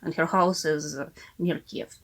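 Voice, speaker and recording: A woman's voice: sits low, fairly even intonation, measured pace. A young adult woman from Ukraine with a Ukrainian accent, a native Russian speaker, speaking in a remote interview with frequent "uh" fillers and restarts. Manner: hesitant, many "uh" fillers and restarts. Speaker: guest, art historian and curator. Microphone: remote call.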